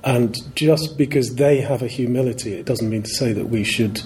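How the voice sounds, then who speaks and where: A man from the audience making an earnest, measured point. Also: mid-range voice, darkish tone. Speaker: audience member.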